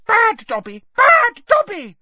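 Storybook house-elf, male played by a woman: tiny, nervous, eager to please, high squeak with big melodic swings. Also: wildly swooping pitch, slow pace, dry recording, muffled recording, full character voice. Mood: frantic self-scolding. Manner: servile, broken grammar, refers to himself by name. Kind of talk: audiobook character voice.

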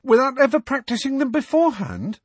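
Man putting on a high, insistent teenage schoolgirl. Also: very animated, brisk, a bit bright, breathy edge, no echo, heavily acted. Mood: incredulous. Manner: insistent, incredulous. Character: bold, incredulous, questioning teenage schoolgirl. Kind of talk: audiobook character acting.

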